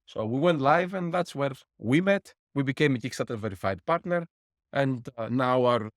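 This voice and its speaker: A man's voice: medium pitch, animated, moderate pace, no room sound. Guest, middle-aged man, dry close mic, breaks down costs step by step. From Greece. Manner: explains with worked numbers and lessons, laughs wryly. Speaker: guest, board game publisher. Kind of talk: interview answers.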